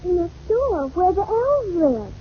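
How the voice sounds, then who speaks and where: A tiny, earnest girl who believes she is a fairy queen. The voice is extremely high and matter-of-fact about magic. It comes through a muffled old radio comedy recording with clear noise. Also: very animated, a little slow, exaggerated voice. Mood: earnest make-believe. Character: imaginative little girl playing fairy queen. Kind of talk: 1940s radio comedy acting.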